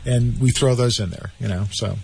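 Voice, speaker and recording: A man's voice: normal speed, creaky, a little mellow, husky. A male musician, a guest on a radio show, talking in a rambling way as he thinks aloud. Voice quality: low singing voice.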